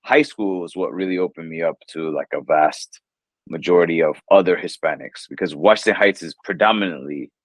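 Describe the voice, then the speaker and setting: A man's voice: sits low, a little fast, no room sound. A man in his thirties with a New York accent, an English teacher chatting casually in an interview, rambling with lots of fillers. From New York, USA. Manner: relaxed, rambling, lots of "like" and "you know".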